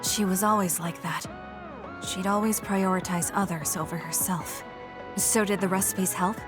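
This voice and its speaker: A woman's voice: medium pitch, fairly even intonation, normal speed, lightly acted. A woman playing a young, guarded female soldier in a video game, speaking in a blunt, matter-of-fact way. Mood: guarded, curious. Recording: studio voice under loud game music.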